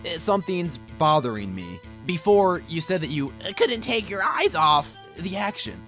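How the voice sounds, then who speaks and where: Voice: medium pitch, sing-song, moderate pace, a bit bright. An actor playing an earnest, dogged young male defense lawyer in a fan-dubbed courtroom drama, pressing hard yet sometimes unsure. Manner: pressing, declamatory, hesitant asides. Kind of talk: fan dub courtroom game acting. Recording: amateur dub with clear music behind.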